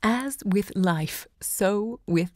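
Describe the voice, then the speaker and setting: An actress playing a self-assured, romantic literary hostess and seductress in an audio drama, theatrical and eloquent in delivery. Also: female voice, mid-range voice, very slow, darkish tone, dry recording. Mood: proud, impassioned.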